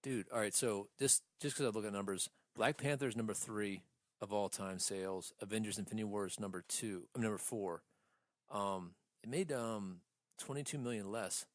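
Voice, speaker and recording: A man's voice: lowish voice, moderate pace, no echo, studio quality. A man co-hosting a geek-culture podcast, bantering in a blunt, slangy way with frequent swearing. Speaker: podcast co-host.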